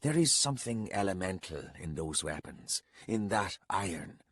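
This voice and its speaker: A man voicing an old, bent castellan who speaks low, steady and patient. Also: moderate pace, breathy edge, no echo, exaggerated voice. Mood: grave.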